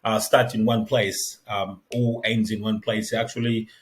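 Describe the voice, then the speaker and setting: A man in his thirties from the Democratic Republic of the Congo, a guest telling his life story in a measured, reflective way. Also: lowish voice, little pitch movement. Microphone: headset.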